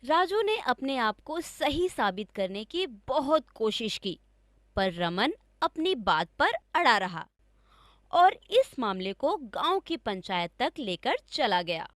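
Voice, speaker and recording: Woman reading a kids story, high lively voice, slow, really dry sound. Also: no room sound. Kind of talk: scripted children's story narration.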